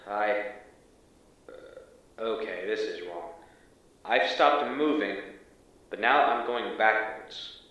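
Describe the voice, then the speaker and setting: A man's voice: medium pitch, fairly bright tone. An actor playing a wary, irritable male field agent in an audio drama, sighing and hesitant as he reports back. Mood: weary, concentrating. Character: wary, exasperated male field agent exploring an anomalous hallway. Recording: distant, muffled, echoey hallway.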